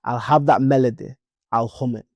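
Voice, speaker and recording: A young man from Leeds with a Leeds accent, a musician guest chatting in a playful, animated interview. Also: mid-range voice, moderate pace, dry recording. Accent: Leeds English. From Leeds, England. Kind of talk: casual interview chat.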